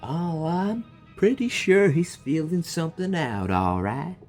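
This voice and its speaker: A man playing through a video game and reacting as he goes. His pitch moves about a lot, and he jokes freely and heckles the characters on screen, all in a loose, offhand style. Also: expressive, measured pace, darkish tone, soft background music. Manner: jokey, talks back to the characters, playful. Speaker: gamer reacting to a story quest. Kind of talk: casual gaming commentary.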